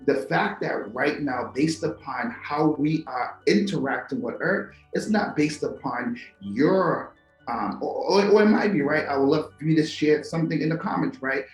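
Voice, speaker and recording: A man's voice: fairly high pitch, expressive, normal speed, reverberant, faint music behind, poor audio. A man from Trinidad and Tobago hosts a talk show, musing to viewers with rhetorical questions and repeated words. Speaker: podcast host. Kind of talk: philosophical monologue to viewers.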